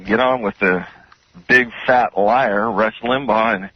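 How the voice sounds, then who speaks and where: A man's voice: fairly low pitch, slow pace, no room sound. A man calling in to a radio show, chatting casually as a fan over the phone. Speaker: radio caller. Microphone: telephone. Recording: dull, dry phone call on radio.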